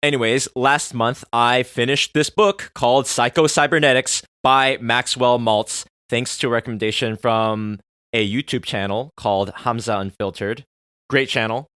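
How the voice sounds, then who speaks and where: Podcast host, man in his thirties, Korean native speaker, earnest and excitable, dry close-miked sound. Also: medium pitch, moderate pace, clean tone, no echo. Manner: earnest, excitable, swings from soft musing to loud swearing. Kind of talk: solo podcast monologue.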